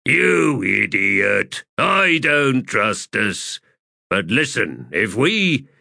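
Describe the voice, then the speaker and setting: A man playing an irritable, bright-voiced sapper corporal. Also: fairly low pitch, sing-song, extremely slow, no echo, studio quality, pitched above the performer's own voice, full character voice. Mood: irritated. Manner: irritable, barking, rough ungrammatical soldier talk. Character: gruff, bossy army sapper corporal, a man.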